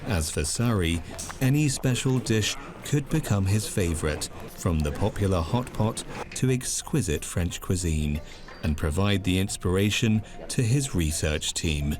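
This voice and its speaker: A man narrating a documentary in a calm, measured, scripted way. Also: low-pitched. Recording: music underneath.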